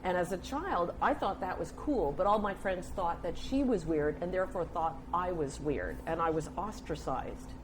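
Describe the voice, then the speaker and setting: An older woman, telling stories conversationally as an interview guest, with an animated manner. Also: on the low side, normal speed, hardly any pauses. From United States. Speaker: interview guest, communications specialist. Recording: clear background noise and room ambience.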